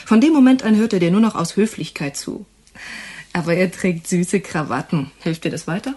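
An actress playing a sharp-tongued, teasing woman in a radio crime drama, with a mocking, blunt way of talking. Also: on the low side, moderate pace, husky.

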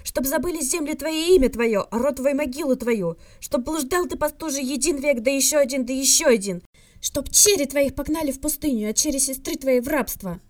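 A woman's voice: high voice, a little fast, a little mellow, pitched above the performer's own voice, natural voice. Woman acting a hot-headed young man, raised and indignant. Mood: furious. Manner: hissing, muttering and roaring outbursts. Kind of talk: fan fiction audiobook reading.

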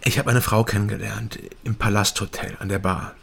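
Tired east german man in a radio play, deep-ish creaky voice, understated, background noise behind him. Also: steady tone, a little fast, a bit bright. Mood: hesitant. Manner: laconic, understated. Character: world-weary, lovesick East German man, hard-drinking ex-police student. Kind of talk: radio crime drama, dialogue and brooding narration.

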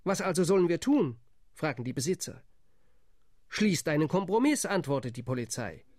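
A man's voice: fairly high pitch, big pitch swings, normal speed, a little mellow, no room sound, clean studio sound. An actor playing a bitter male spokesman, speaking in a sardonic, pointed radio play monologue.

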